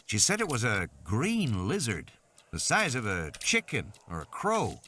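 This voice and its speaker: A man's voice: medium pitch, huge pitch swings, a little slow, pushed and bright, slight background noise, exaggerated voice. A man voicing a worried, earnest father who speaks plainly and anxiously.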